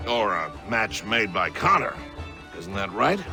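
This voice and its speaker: A man's voice: fairly low pitch, big pitch swings, measured pace, music in the background. A man playing a genial, plain-spoken villager in a video game cutscene, folksy and affectionate. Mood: fond.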